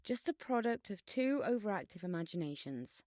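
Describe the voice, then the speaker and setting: A woman playing a bossy, sardonic, excitable schoolgirl in an audio drama, with a theatrical, sarcastic manner. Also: medium pitch, normal speed, no room sound, studio quality, natural voice. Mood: wry, dismissive.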